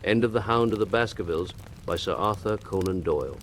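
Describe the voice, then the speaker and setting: A man's voice: deep voice, brisk, slight background noise. Male announcer over faint rainy ambience. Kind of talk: scripted sign-off narration.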